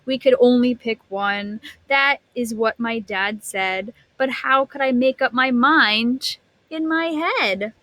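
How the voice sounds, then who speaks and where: A woman's voice: fairly high pitch, slow pace, pushed and bright, no echo. A woman teacher reading a picture book aloud to young children, expressively, stretching words and putting questions to her listeners.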